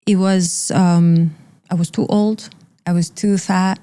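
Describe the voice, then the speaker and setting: A woman's voice: on the low side, steady tone, slow pace, dark tone, smooth. A woman in her thirties, originally from Iran, speaking candidly and with emphatic repetition as an interview guest. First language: Persian.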